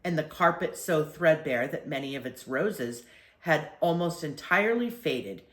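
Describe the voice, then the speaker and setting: An older woman reading a children's book aloud as an expressive storyteller. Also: low voice, measured pace. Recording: echoey room.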